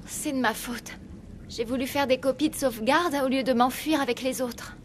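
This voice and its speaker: Actress as anxious, high-voiced young research assistant. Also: female voice, moderate pace, background noise, lightly acted. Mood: anxious, explaining. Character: anxious, self-blaming young female research assistant, trapped. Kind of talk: French video game dub.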